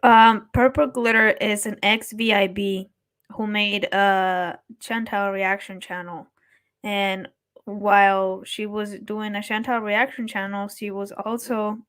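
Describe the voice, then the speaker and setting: A woman's voice: mid-range voice, fairly bright tone. A woman hosting a live stream, chatting casually and laughing often while she talks to her chat. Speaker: commentary streamer host.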